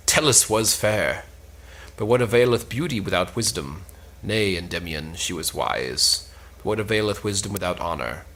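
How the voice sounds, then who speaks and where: A man's voice: deep voice, sharp tone, exaggerated voice. A man playing a lovesick, melancholy young dreamer, declaiming a brooding soliloquy in a cold read of a stage play.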